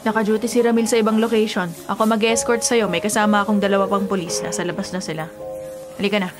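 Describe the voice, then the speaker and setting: A woman's voice: mid-range voice, flat delivery, fast talker, over music, lightly acted. An actress with a Filipino accent playing a businesslike policewoman in a radio drama, with a matter-of-fact official manner. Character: brisk, businesslike policewoman escorting a prisoner.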